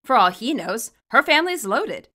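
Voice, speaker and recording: A woman reading her own fan fiction aloud as expressive, scripted story narration. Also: a little high, normal speed.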